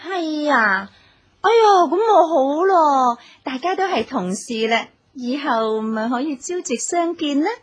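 A woman's voice: high-pitched, lively intonation, unhurried. A woman playing a chatty, meddling young secretary in a radio drama, coaxing and gossipy. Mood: coy, eager. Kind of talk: Cantonese radio drama acting.

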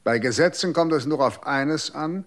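An actor playing a calm, dismissive official who lectures on the law in a radio drama. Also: male voice, fairly high pitch, moderate pace, lightly acted. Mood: cold. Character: cold, condescending official defending the law.

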